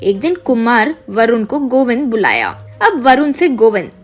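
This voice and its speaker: A woman's voice: on the high side, very animated, normal speed, faint music behind. A woman narrator reading a scripted moral tale. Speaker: story narrator.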